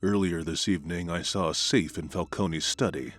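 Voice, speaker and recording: An actor playing a brooding wealthy vigilante in an animated comic, husky, measured and formal. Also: male voice, low voice, dry recording, faint music behind. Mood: grim. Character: brooding, reserved wealthy vigilante in his prime.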